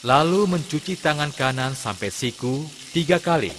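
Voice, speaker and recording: A man, an Islamic religious teacher, giving measured step-by-step instruction in a scripted lesson narration for children. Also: fairly high pitch, expressive, fairly bright tone. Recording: long hall reverb, clear background noise.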